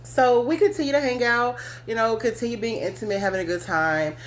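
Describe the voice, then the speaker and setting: A woman's voice: fairly high pitch, a little fast, slight background noise. A woman hosting a podcast, telling a personal story to her listeners in a candid, blunt way, cursing and laughing often. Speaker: podcast host.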